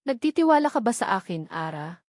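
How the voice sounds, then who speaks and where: A woman's voice: mid-range voice, big pitch swings, fairly quick, darkish tone, no echo, studio quality, natural voice. A woman voicing a determined, protective man in a romantic story, earnest and reassuring.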